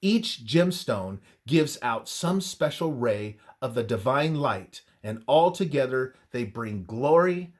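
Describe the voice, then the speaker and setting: Male narrator for devotional script: unhurried and deliberate, highly varied intonation, emphatic pauses. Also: expressive. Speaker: reader of a religious devotional text.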